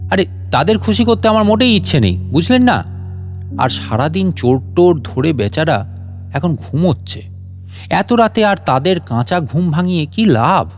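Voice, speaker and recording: A man's voice: on the high side, very animated, moderate pace, faint music behind, voice pushed up. A man voicing a cocky master thief in a comic storybook reading. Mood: smug, amused. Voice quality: coughing laugh. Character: cocky master thief with a hacking cough, later panicking.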